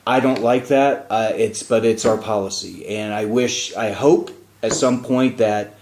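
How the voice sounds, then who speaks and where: A man's voice: lowish voice, a little slow, darkish tone, roomy sound, slight background noise. A man hosting a casual talk show, explaining things in a chatty way with frequent fillers. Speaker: radio show host and diplomat.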